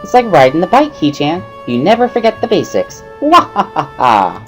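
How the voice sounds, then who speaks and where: A woman's voice: low-pitched, wildly swooping pitch, moderate pace, soft background music, pitched above the performer's own voice, heavily acted, rough recording. A woman voicing a bubbly, pushy schoolgirl tutor with perky, giggly, emphatic speech in a visual novel reading. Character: bubbly, pushy schoolgirl, earnest amateur sign language tutor.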